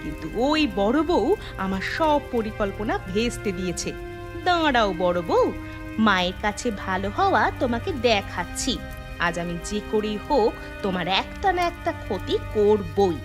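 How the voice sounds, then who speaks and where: A woman's voice: medium pitch, sing-song, a little slow. A woman playing a jealous, scheming younger daughter-in-law in a cartoon, with a sneering, gloating, theatrical delivery. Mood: vengeful. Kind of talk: Bengali moral-tale cartoon acting. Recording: music under the voice.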